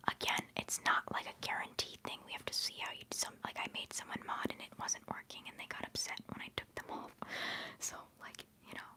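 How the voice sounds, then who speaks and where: A young woman hosting a livestream, whispering softly as she rambles through chat messages and answers viewers fondly, holding back tears. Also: brisk, poor audio. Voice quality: soft whispering voice. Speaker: livestream host.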